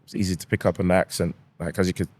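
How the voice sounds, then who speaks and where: A man in his forties, born in Jamaica, who speaks with a London accent and tells his story in a relaxed, easygoing way as a podcast guest. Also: sits low, fast talker, no room sound. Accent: London English with Jamaican roots. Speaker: guest, restaurant founder. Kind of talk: casual podcast interview.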